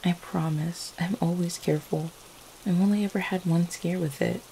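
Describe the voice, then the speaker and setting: A woman playing a gentle, devoted vampire girlfriend in an ASMR roleplay, speaking in a tender, hesitant, affectionate manner. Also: lowish voice, normal speed, gravelly, low-quality recording. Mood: gentle, explaining. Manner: soft-hearted, hesitant, tender. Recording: faint steady rain-like background noise. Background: rain falling.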